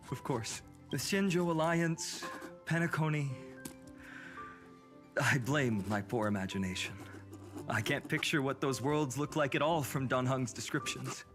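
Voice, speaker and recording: A man's voice: medium pitch, natural voice. Man acting an earnest young hero over music. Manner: earnest, reassuring, wistful. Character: earnest young male hero, warm wistful companion. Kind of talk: video game story dialogue. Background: game background music.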